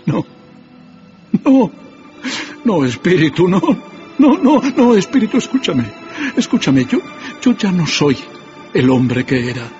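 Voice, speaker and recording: An actor playing a repentant old miser, fervent and exclamatory, in a theatrical radio drama. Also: male voice, sits high, very animated, takes it slowly, pauses often, a little mellow, full character voice. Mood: desperate pleading. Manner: exclamatory, emotional, repeats words. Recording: dull band-limited audio, music underneath.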